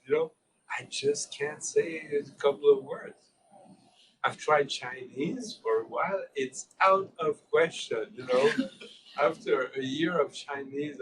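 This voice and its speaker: Man, French accent, unhurried and halting, restarts often, recorded from a distance. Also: mid-range voice, a bit bright, a little hiss or hum. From Switzerland. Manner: thoughtful, halting, many restarts. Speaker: guest lecturer. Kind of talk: informal discussion. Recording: distant mic.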